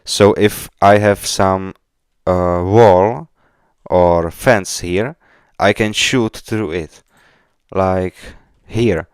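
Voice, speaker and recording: A man from the Czech Republic with a Czech accent, chatting casually on a live coding stream in halting, hesitant non-fluent English. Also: deep voice, smooth, no echo, clean studio sound. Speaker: game developer live streamer. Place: indoors at a computer, keyboard clicks behind.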